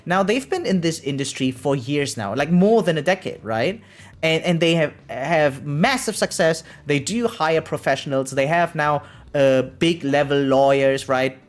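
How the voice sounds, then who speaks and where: A man who streams games, giving informal, emphatic livestream commentary and often checking for agreement. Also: fairly high pitch. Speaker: variety game streamer, host. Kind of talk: casual livestream commentary reacting to chat.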